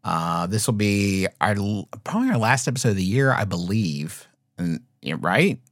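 Animated joking male podcast co-host. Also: on the low side, normal speed, no room sound, studio quality. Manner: loose, joking, rambling with many restarts. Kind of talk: casual comedic chat.

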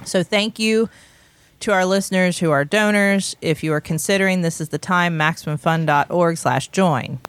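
A woman hosting a podcast, chatting casually through a fundraising pitch with restarts and light laughs. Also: normal speed, clear, resonant tone. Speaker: podcast host. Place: small recording studio.

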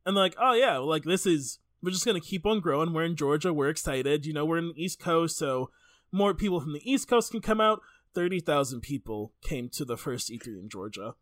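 Male podcast host, informal and joking storyteller, very lively intonation, close dry sound. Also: a little high, brisk, no room sound. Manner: informal, jokey, sweary. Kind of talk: casual chatty podcast storytelling.

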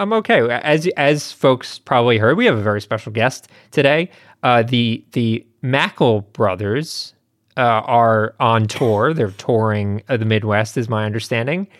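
A man's voice: mid-range voice, no echo, clean studio sound. A man hosting a podcast in a casual, conversational way with informal asides. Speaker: podcast host.

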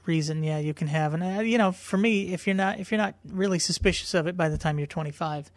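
A man's voice: high voice, fast. A man who is a guest on a podcast, talking casually and jokingly, with a light voice that people often take for a woman's. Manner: joking, self-mocking, repeats words. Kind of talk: casual interview chat. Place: indoors, in someone's home. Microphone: podcast microphone.

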